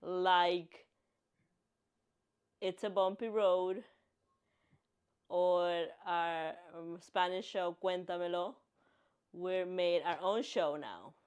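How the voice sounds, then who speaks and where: A woman's voice: fairly low pitch, steady tone, drawn-out words, pauses often, a little mellow, smooth. Chatty young woman co-hosting a podcast. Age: late 20s. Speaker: podcast co-host. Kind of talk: casual podcast chat.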